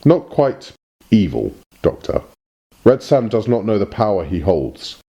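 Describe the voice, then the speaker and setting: A pompous alien Time Lord envoy acted by a man, low and mellow. He speaks formally and theatrically, like someone handing down a grand mission in a parody radio play. Music plays underneath. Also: sing-song, measured pace, muffled recording. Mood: formal, portentous. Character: pompous, evasive alien Time Lord messenger in smart suit. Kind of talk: parody audio drama acting.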